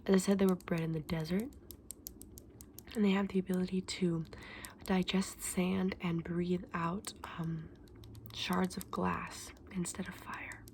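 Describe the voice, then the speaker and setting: A woman voicing a gentle, scholarly dragon egg saleswoman in an ASMR fantasy roleplay, mostly whispering and explaining earnestly with small hesitations. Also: fairly low pitch, level tone, a little slow, a little hiss or hum. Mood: soft, fascinated.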